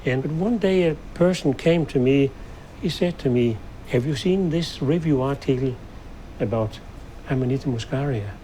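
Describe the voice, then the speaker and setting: A man's voice: mid-range voice, moderate pace, dark tone, slight background noise, rough recording. An older man with a Danish accent, a scientist recounting his experiences in an interview. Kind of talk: recollective interview storytelling.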